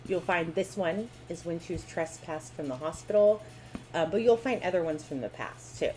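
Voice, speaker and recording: A woman's voice: on the low side, normal speed, darkish tone, soft background music, poor audio. A woman co-hosting a live stream, giving blunt, opinionated commentary in a casual way. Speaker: co-host.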